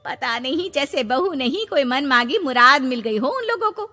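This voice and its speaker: A woman's voice: high voice, animated, normal speed, sharp tone. A woman voicing an admonishing, indignant middle-aged mother of a married daughter in a cartoon moral story. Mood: admiring. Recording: long reverb over background music.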